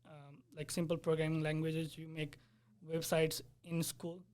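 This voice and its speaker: Young man, flat and earnest. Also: on the high side, unhurried. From Nepal. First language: Nepali. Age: 20s. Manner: earnest, explanatory, searching for words. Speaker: software developer, interviewee. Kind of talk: casual interview.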